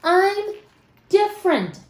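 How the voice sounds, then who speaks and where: Woman as an earnest high-pitched zombie boy. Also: very animated, heavily acted. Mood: defiant, pleading. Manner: emphatic, pleading, in short deliberate phrases. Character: earnest zombie boy who loves vegetables, pleading, proud. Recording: roomy, echoing room sound.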